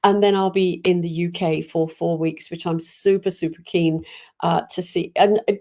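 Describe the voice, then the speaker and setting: Mellow-voiced, chatty, enthusiastic woman. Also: lowish voice, steady tone, normal speed. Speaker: spiritual medium hosting a live online chat. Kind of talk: casual livestream chat to viewers.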